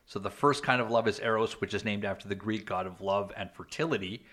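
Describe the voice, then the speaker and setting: A man's voice: lowish voice, a little fast, a bit bright. A man co-hosting a casual podcast chat, rambling with fillers and restarts, joking now and then. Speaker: podcast co-host.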